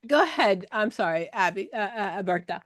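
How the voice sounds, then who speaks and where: A woman hosting a casual group call, lively and quick to jump in. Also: medium pitch. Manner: lively, interjecting, laughs often. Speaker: host and exam coach.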